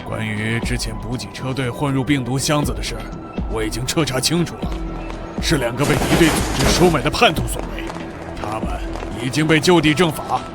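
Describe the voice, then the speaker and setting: An actor voicing a scheming, self-preserving city military commander in a motion-comic drama, emphatic and officious. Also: male voice, medium pitch, brisk, loud music behind the voice, natural voice. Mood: falsely sincere, defensive.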